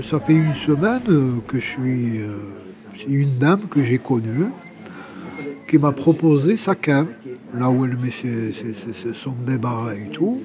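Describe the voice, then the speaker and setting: A man gives a personal testimony in informal, conversational language, repeating words as he searches for the next. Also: mid-range voice. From Algeria, Kabyle. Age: 60s. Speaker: interviewee, exiled journalist living homeless.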